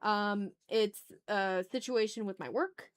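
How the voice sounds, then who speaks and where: A woman's voice: mid-range voice, takes it slowly, clear, resonant tone. A woman chatting casually on an art livestream, thinking aloud with self-deprecating remarks and some swearing. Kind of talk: casual livestream chat while drawing.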